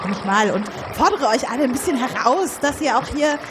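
In a noisy, echoing hall, a woman plays an evasive, exasperated former contestant gone undercover in an improvised radio comedy. The voice is fairly high and dramatic. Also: lively intonation, a little fast. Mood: resigned, confessing. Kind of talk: improvised comic radio play. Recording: noisy echoey hall, live stage.

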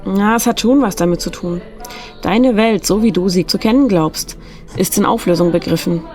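A woman's voice: medium pitch, normal speed, darkish tone, faint music behind, close to an everyday voice. An actress playing a mysterious, insistent woman guide in a radio play, speaking with earnest urgency. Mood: cryptic.

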